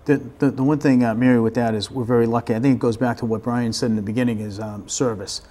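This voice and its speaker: American man with a mellow, darker voice. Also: mid-range voice, fairly even intonation, fast talker. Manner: repeats words as he starts sentences. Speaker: fire department staff member, interview guest. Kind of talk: unscripted interview, conversational explanation.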